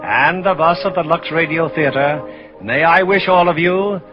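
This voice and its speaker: A man's voice: a little high, a little slow. A man hosting a radio theater, giving a formal scripted 1940s address on a dull old recording. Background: music.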